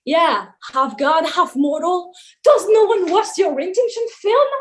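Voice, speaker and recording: A woman's voice: very high voice, expressive, measured pace. A woman playing a jaded, sarcastic male wine god who runs a summer camp, in a weary, theatrical table read. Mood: exasperated. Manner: sardonic, weary, theatrical complaining.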